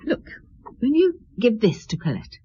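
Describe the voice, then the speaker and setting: Husky, very lilting kindly wife. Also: female voice, fairly high pitch, wildly swooping pitch, normal speed, dark tone, lo-fi sound, heavily acted. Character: kind, hospitable inspector's wife, mildly disapproving. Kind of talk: radio drama acting.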